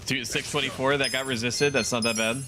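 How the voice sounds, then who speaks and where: A man's voice: medium pitch, steady tone, a little fast, noisy background. A man live-streaming a video game, rambling casually and laughing often as he plays. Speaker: VTuber streamer. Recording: game music playing under the voice. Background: game music.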